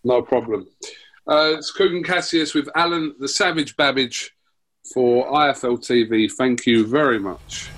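A polite, easygoing man hosting a casual sports interview. He speaks at an ordinary middle pitch and keeps the talk moving toward its close. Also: unhurried, fairly bright tone, rough recording. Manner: polite, easygoing, wraps things up.

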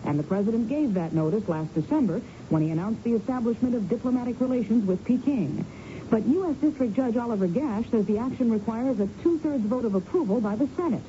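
A woman's voice: a little high, animated, quick pace, dark tone. An American woman reporting network radio news in a scripted newsreading style. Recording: muffled, faintly noisy radio broadcast.

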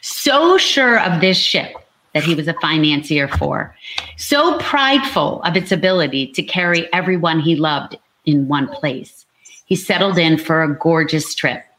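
A woman's voice: deep voice, animated, measured pace, fairly bright tone, rough recording. A woman narrating a dramatic historical story as an amateur scripted reading.

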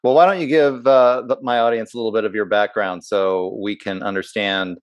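A man's voice: on the low side, steady tone, fairly quick, no room sound. A man hosting a podcast interview, asking loose questions strung together one after another. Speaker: podcast host.

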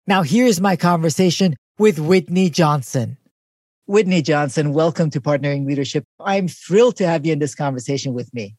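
A man's voice: a little high, expressive, normal speed, darkish tone, dry recording. Male podcast host, close dry sound. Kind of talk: podcast introduction and interview.

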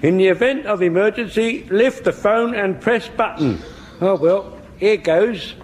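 An actor playing an excitable, muddled old army corporal in a British sitcom, with an English accent and a flustered, deferential, repetitive manner. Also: male voice, high-pitched, unhurried. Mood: panicky. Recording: noisy old radio comedy recording.

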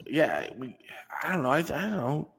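A man's voice: a little high, sing-song, moderate pace, gravelly, fairly bright tone, husky, in a large hall. A man co-hosting a wrestling review podcast in a casual, joking way. He makes folksy asides and often stops and restarts his sentences. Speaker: podcast co-host.

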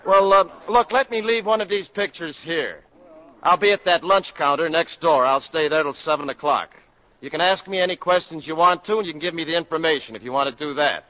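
An actor playing an earnest government doctor, urgently and politely appealing to a crowd in a 1950s radio drama. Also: male voice, fairly high pitch, a little fast, natural voice. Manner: insistent, polite public appeal, projecting. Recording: muffled old radio broadcast.

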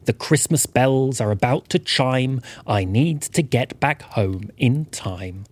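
A man's voice: mid-range voice, slow, breathy edge, dry recording. A man voicing a desperate former Santa turned thief, pleading theatrically in a rhyming audio comedy. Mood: urgent. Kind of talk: rhyming comic audio drama.

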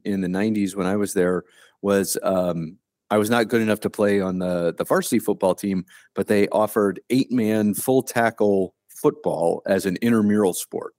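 Retired officer, fifties, from Ohio; low voice, plainspoken, unhurried, remote-call guest. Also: male voice, darkish tone, dry recording, studio quality. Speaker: guest, retired Army colonel. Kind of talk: casual interview conversation.

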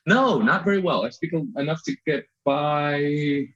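An American man in his thirties, a photographer guest telling his story in a relaxed chat with frequent restarts. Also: on the high side, measured pace, slightly rough. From USA. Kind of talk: casual interview chat. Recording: dull lo-fi audio.

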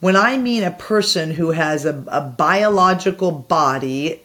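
Low-voiced woman thinking aloud. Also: measured pace, a bit bright. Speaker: podcast guest. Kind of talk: reflective conversational explaining.

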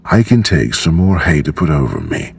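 Little storybook girl read by a man, sweet, eager, earnest, low gravelly register, unhurried. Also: wildly swooping pitch, full character voice. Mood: consoling.

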